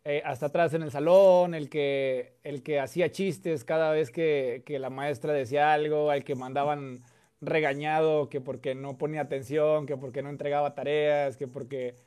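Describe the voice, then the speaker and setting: A man from Mexico with a Mexican accent, a guest telling relaxed, chatty stories with many fillers and repeated words. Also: a little high, normal speed, clear, resonant tone. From Mexico (Coahuila). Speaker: guest, content creator. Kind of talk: casual storytelling chat.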